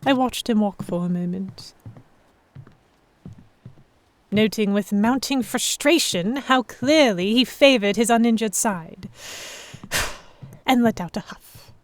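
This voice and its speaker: A woman playing a stubborn, sharp-tongued captive of pirates, narrating an audio drama in the first person. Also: mid-range voice, no room sound, natural voice. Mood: frustrated.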